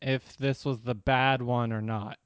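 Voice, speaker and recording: A man co-hosting a casual podcast, delivering dry, deadpan jokes. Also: mid-range voice, a little slow, clear, resonant tone, dry recording, lo-fi sound. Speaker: podcast co-host.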